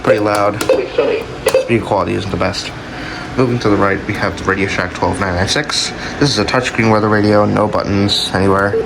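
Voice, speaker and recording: Deep gravelly guy casually showing off stuff on video, kinda halting, loud hum and hiss behind him. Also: fairly even intonation. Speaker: video host showing a collection. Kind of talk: casual unscripted product showcase.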